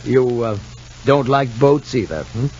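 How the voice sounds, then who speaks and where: An actor playing a kindly, patient doctor in a 1950 radio soap opera, gently coaxing and encouraging in a folksy way. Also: male voice, mid-range voice, lively intonation, measured pace, husky. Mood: gentle, encouraging. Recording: muffled, noisy 1950 radio recording.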